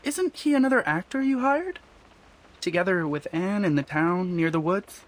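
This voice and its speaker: A man's voice: sits high, animated, normal speed. A man voicing a nervous, timid young film crew member, hesitant, apologetic and whiny, in a video game drama.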